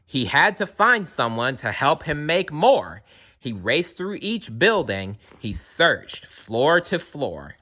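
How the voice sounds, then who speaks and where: A man's voice: on the high side, very animated, slow, sharp tone. A man reading a rhyming picture book aloud with a playful, rhythmic delivery. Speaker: storybook reader.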